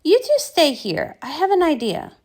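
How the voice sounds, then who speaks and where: A woman's voice: high-pitched, huge pitch swings, moderate pace, a little mellow. A woman doing a theatrical read-along of a comic, switching between character voices.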